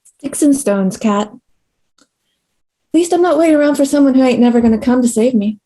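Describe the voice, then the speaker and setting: Brief: actress as tough young frontier woman, mellow tone, folksy, dry, defiant, screenplay read. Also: sits high, normal speed. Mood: bitter, defiant.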